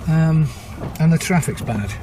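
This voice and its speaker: An actor playing a harried, evasive British husband in a radio drama, placating and hesitant. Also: male voice, on the high side, lively intonation, unhurried, noisy background. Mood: flustered, harried. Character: harried, evasive husband and salesman under strain.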